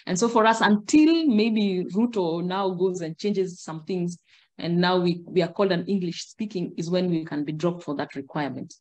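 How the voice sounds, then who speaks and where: Kenyan woman, middle-aged, video call guest, measured explainer, slightly low voice. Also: darkish tone. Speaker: guest, migration agent. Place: indoor room.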